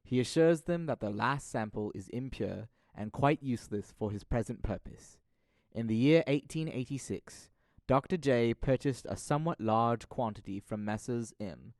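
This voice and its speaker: A young man narrating a literary audio drama in a deliberate storytelling manner. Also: medium pitch, a little mellow, no echo, studio quality. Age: young adult.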